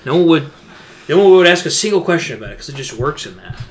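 A man's voice: on the high side, sing-song, fast. A young adult man co-hosting a podcast, talking informally and slangily in casual conversation. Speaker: podcast co-host. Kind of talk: casual chat.

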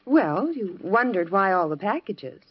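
A woman's voice: mid-range voice, sing-song, unhurried, breathy edge. An American actress playing a patient, practical middle-aged housewife and mother in a 1950s radio sitcom. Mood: defensive, patient. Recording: muffled lo-fi 1950s radio recording.

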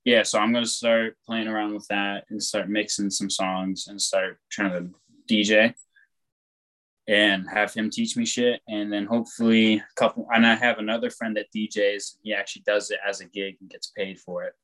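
Laid-back young man with a low voice. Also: level tone, normal speed, low-quality recording. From born on the West Coast, raised on the East Coast of the US. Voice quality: loud voice. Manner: rambling, laid-back, swears freely. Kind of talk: casual chat.

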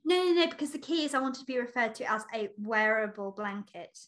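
High-pitched woman, chatty podcast co-host. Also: animated, brisk, fairly bright tone. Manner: chatty, playful. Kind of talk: casual unscripted book chat.

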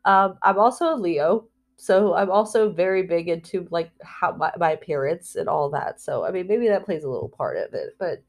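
A woman with a fairly low voice talks into her own vlog in a candid, confessional way. She rambles through restarts and fillers, and slips soft laughs between her phrases. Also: animated, moderate pace, few pauses. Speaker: spiritual vlogger. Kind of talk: candid personal vlog talk.

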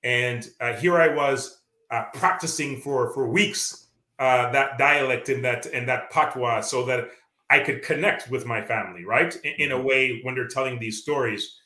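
A Canadian man with a Canadian accent talks earnestly as a guest author, stopping often on fillers and restarts. His voice is bright and forceful. It sounds echoey, like an open room. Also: mid-range voice, normal speed. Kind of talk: reflective interview talk.

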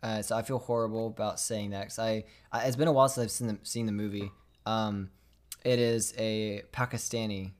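A man's voice: on the low side, steady tone, fairly quick. A man co-hosting a casual podcast, laughing often, hedging and correcting himself. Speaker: podcast co-host.

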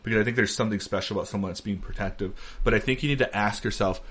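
A man in his thirties hosting a podcast, chatting casually and acting out quoted lines as he tells stories. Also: rapid-fire. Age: 30s. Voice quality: deep voice with a beard. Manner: animated storyteller who acts out quotes. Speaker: podcast host. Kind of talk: casual chat.

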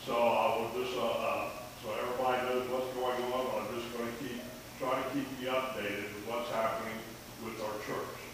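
An American man giving an unscripted update to a church group in a halting, plain-spoken way. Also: mid-range voice, little pitch movement. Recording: very echoey, distant mic, hissy noise.

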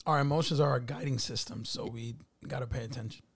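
A man's voice: mid-range voice, steady tone, quick pace, slightly rough. A man in a casual chat, using slang and often stopping to repeat and rework his phrases.

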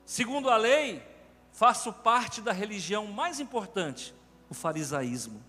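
A Brazilian pastor with a high voice that swings widely as he preaches, pressing his points with animated emphasis. His voice carries a long reverb through a large church sanctuary. Faint music hums underneath. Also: measured pace. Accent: Brazilian Portuguese. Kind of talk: sermon.

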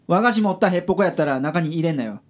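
A man's voice: on the high side, expressive, rapid-fire. A man playing a grumpy, self-important detective with a Kansai accent in a radio drama. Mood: grumpy, irritated. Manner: gruff, grumbling, turns pompously polite with clients. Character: grumpy, scrawny, self-styled great male detective.

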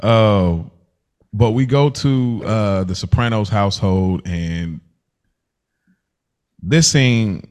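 A man hosting a podcast, casually reviewing television in an informal, slangy way. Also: fairly low pitch. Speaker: podcast host.

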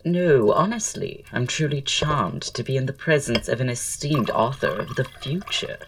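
An actor playing a witty, flirtatious poet and dandy in an audio drama, speaking in florid, arch, self-assured period style. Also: male voice, medium pitch, animated, normal speed, a bit bright, far from the mic, slight background noise, low-quality recording. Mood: charmed, flattering. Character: languid, witty, flirtatious male poet-playwright dandy, proud.

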